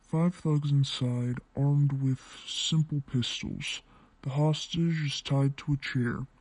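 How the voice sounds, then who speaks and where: A man acts out a gruff masked vigilante from a superhero audiobook. The voice is rough-edged and mellow, recorded very dry. Lines come out blunt, sardonic and unhurried. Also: medium pitch, fairly even intonation, dry recording. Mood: dry, matter-of-fact. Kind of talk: acted dialogue in fan fiction audiobook.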